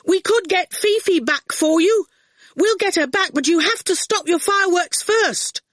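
A woman's voice: sits high, moderate pace, fairly bright tone, no echo, studio quality, voice pushed up. A woman voicing an earnest, anxious boy who calls out urgently in a children's story. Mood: urgent, persuasive. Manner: urgent calling out.

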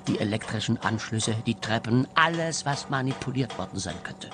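A man's voice: medium pitch, animated, normal speed, close to an everyday voice. A man playing a fearful, worried husband in a radio play, speaking earnestly and precisely. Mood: worried, insistent. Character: anxious, fearful husband, a detective's client.

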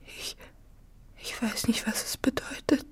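An actress playing a bitter, wounded, possessive farm wife in a literary radio drama, with intense delivery. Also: female voice, unhurried, whispered, close to an everyday voice. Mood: hushed, uncertain.

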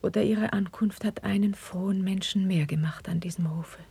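A husky, dignified young queen. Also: mid-range voice, fairly even intonation, warm tone, no echo. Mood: gracious, guarded. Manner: measured, formal stage diction. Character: young Queen of Spain, gentle, dignified, homesick, restrained. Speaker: radio drama actress. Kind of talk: 1950s German classical radio drama acting. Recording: dry 1959 radio drama recording.

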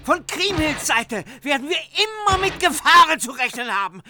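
An agitated warrior retainer in a radio drama, warning of doom. His voice is pushed high and urgent, with music clearly behind it. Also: sing-song, moderate pace, exaggerated voice. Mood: alarmed, warning. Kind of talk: German radio play acting.

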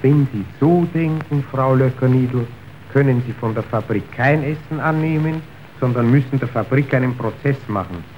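An actor playing a slick, manipulative factory official in a radio drama, speaking in a measured, officious, persuasive way. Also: male voice, mid-range voice, natural voice. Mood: coolly persuasive. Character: slick, calculating male factory boss's agent, smoothly manipulative. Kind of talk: stage-like German radio drama acting. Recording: dull, noisy old radio recording.

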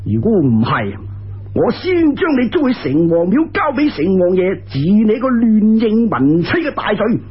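A man's voice: high voice, big pitch swings, measured pace, slightly rough, lo-fi sound, heavily acted. A man voicing a sneering, bullying gang ruffian with a Cantonese accent, taunting and menacing in a storytelling session.

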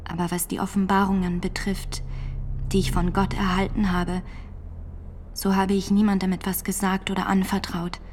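A woman's voice: medium pitch, little pitch movement, moderate pace, darkish tone, a little hiss or hum, natural voice. An actress in a radio drama playing a devout, defiant nineteen-year-old peasant woman on trial, steadfast and plain-spoken in manner. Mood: steadfast, earnest.

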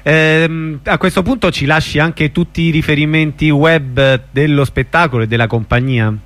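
A male radio host conducting a casual interview, putting his questions in an informal, halting way. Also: fairly high pitch, fairly even intonation, fairly bright tone, no echo.

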